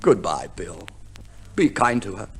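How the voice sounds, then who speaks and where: An actor playing a theatrically noble, secretly scheming husband in an old radio comedy play, declaiming melodramatically. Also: male voice, sits low, very animated, a little slow, breathy edge, heavily acted. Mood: mock-noble, mournful. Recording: old radio broadcast, faint noise.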